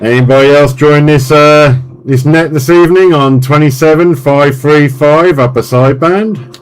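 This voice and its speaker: A man's voice: fairly high pitch, normal speed. An English man running a CB radio net, chatting in a matey, colloquial way. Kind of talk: casual CB radio chat. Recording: loud radio static and hiss. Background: constant sideband static noise.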